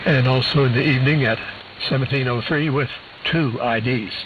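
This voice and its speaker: A man's voice: mid-range voice, moderate pace. A man presenting a scripted shortwave news bulletin, precisely reading out figures and spelled letters. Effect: shortwave radio transmission. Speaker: radio program presenter. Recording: muffled, hissy shortwave radio broadcast.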